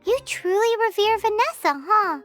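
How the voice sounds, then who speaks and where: Game sidekick fairy: tiny, childlike, extremely high, animated and blunt, music underneath. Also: female voice, normal speed. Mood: concerned. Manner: squeaky, chirpy, blunt. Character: tiny excitable female fairy companion, childlike and nosy. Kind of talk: video game character acting.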